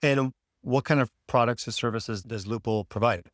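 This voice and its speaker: A man hosting a podcast, reading a scripted intro and then asking questions in a relaxed way. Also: mid-range voice, normal speed, no echo, clean studio sound. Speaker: podcast host, lawyer. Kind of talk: podcast interview.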